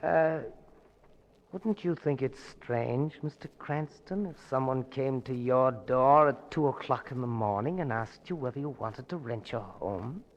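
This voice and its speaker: An actor playing a sinister, vengeful villain in 1940s radio drama, suavely menacing and commanding. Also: male voice, medium pitch, a little slow, lo-fi sound, close to an everyday voice. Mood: suavely suspicious. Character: sinister, gloating, vengeful villain with murderous pets. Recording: old 1940s radio broadcast.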